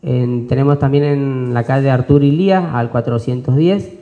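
A man presents at a press conference, pausing often with fillers and restarting phrases. His voice is mellow and dark, picked up by a desk mic in a conference room. The sound is dull and lo-fi. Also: medium pitch. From Argentina. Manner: halting, many fillers and restarts. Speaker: business owner presenting an expo. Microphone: desk mic on stand.

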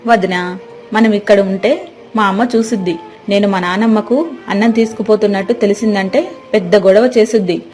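Woman as caring, fearful young village girl confiding secrets, mellow medium-pitched voice. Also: fairly even intonation, moderate pace, close to an everyday voice. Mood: secretive, anxious. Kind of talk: scripted moral-story dialogue.

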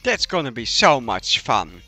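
A man's voice: medium pitch, huge pitch swings, a little slow, sharp tone, faint music behind. A man streaming a game, chatting casually with friends over voice chat. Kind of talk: casual banter while gaming on a livestream.

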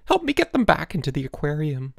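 A narrator voicing a very high, shrill schoolboy, frantic and easily distraught, in an audiobook story. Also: wildly swooping pitch, fast, creaky, no echo, studio quality, heavily acted. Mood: pleading.

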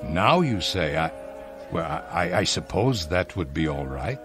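An actor in a radio drama playing an anxious, polite, devoted elderly husband who stammers and hesitates. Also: male voice, low voice, sing-song, a little slow, music in the background. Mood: nervous, hesitant. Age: older.

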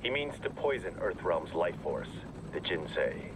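Very deep-voiced, stoic warrior. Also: male voice, sing-song. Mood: grave. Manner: measured, solemn. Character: grave, stoic male warrior swordsman. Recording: clear vehicle noise. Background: vehicle rumble.

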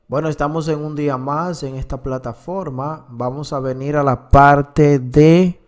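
Slow-talking man narrating a screen recording. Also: mid-range voice. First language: Spanish. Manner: slow, filler-heavy explaining. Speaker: crypto platform reviewer.

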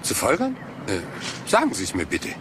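An actor playing a rumpled, absent-minded police inspector who speaks politely and haltingly in a German crime audio drama. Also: male voice, medium pitch, wildly swooping pitch, background noise. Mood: puzzled, distracted. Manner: hesitant, polite, halting, seemingly scatterbrained.

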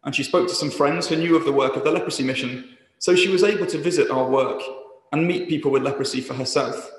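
A man's voice: on the high side, fast. A man speaking to a church as a charity's guest speaker, giving an appeal talk. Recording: echoey church hall.

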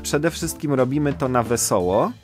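A man's voice: medium pitch, expressive, moderate pace, a little mellow. A middle-aged man talking about his work in an interview. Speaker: audio drama creator being interviewed. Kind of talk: interview talk. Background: faint music.

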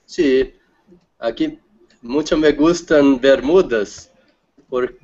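A man's voice: medium pitch, drawn-out words, warm tone. A man from Brazil, a native Portuguese speaker with a Brazilian accent, speaking hesitantly as a student and searching for words. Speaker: language student. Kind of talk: casual chat. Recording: dull lo-fi video call audio.

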